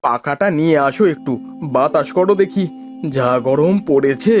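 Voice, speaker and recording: Man playing a cheerful, high-voiced village farmer. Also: normal speed, soft background music, close to an everyday voice. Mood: relaxed, homely. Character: cheerful, polite young village farmer husband. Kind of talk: Bengali cartoon dialogue.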